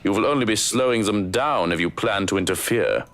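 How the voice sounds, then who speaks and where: An actor in a visual novel game playing a stern, stoic manservant who scolds in a formal, precise, dry way. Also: male voice, on the low side, fairly quick, a bit bright. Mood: stern, scolding. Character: stern, stoic, scolding male head servant and protector. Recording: music behind the voice.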